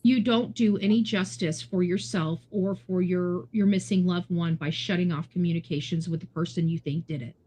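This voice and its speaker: A woman's voice: on the low side, fairly even intonation, normal speed, few pauses, mellow, slight background noise. An American woman giving unscripted, opinionated commentary in a blunt, emphatic, lecturing way. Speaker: online true-crime commentator.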